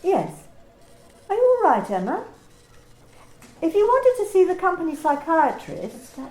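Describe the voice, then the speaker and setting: An actress playing a smooth, coolly polite corporate manager who talks in bland, bureaucratic phrases. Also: female voice, very high-pitched, wildly swooping pitch, a little slow, a little mellow, roomy sound, a little hiss or hum, heavily acted. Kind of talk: amateur radio play reading.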